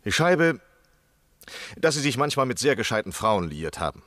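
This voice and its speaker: An actor playing a courteous, eager gentleman scholar in a radio drama, speaking in a measured, ingratiating way. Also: mid-range voice, big pitch swings, no echo. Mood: earnest.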